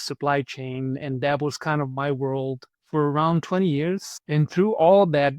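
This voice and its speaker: A man from Brazil answers interview questions in a slightly high voice, working through his thoughts with the odd repeated word. The recording is dry and close, with no room sound. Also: a little mellow, no echo. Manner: thoughtful, repeats words while searching for them. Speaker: podcast guest, program manager.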